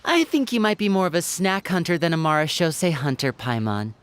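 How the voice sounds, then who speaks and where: An actress voicing a cool, quiet young woman who talks in a soft, matter-of-fact way about tactics. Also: low voice, lively intonation, brisk, a little hiss or hum. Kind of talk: game cutscene voice acting.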